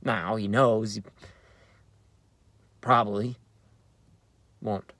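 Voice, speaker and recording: A man in his thirties with an American accent, an actor reading a play as conversational, halting narration with uneven emphasis, recorded inside a car. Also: lowish voice, steady tone, a bit bright, no room sound. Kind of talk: dramatic narration of a play read on book. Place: inside a parked car in daylight.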